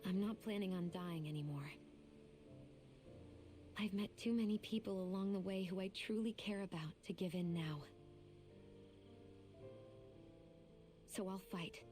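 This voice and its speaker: A woman's voice: medium pitch, moderate pace, dark tone, close to an everyday voice. An actress playing a guarded but vulnerable young woman in a fantasy video game, speaking sincerely and confessionally. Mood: quietly resolute. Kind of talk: video game English voice acting. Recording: music behind the voice. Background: game music.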